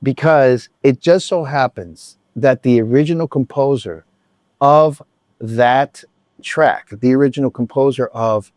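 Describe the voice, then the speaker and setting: A man's voice: medium pitch, a little slow, darkish tone, no room sound. A man telling a concert story in a casual, rambling vlog chat, full of restarts and asides. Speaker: vlogger.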